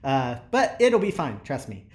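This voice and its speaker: An actor playing a tired but eager, easygoing young male researcher in an audio drama, chatty, expressive and a little hesitant. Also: medium pitch, unhurried. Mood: wry, reassuring. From New Jersey. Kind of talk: naturalistic audio drama acting.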